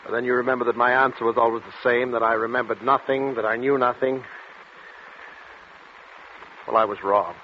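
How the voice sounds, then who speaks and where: A man acting an anguished patient who feels cursed, in vintage radio drama. His delivery is halting and dramatic at a middling pitch, with dull sound and faint hiss of an old recording. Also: fairly even intonation, close to an everyday voice. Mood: uneasy, haunted. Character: tormented male patient cursed to blurt out hidden truths. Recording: muffled, noisy 1940s radio recording.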